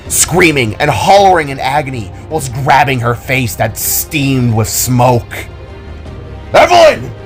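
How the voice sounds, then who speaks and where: A man giving dramatic scripted narration as the storyteller of an audio drama. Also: medium pitch. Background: background music.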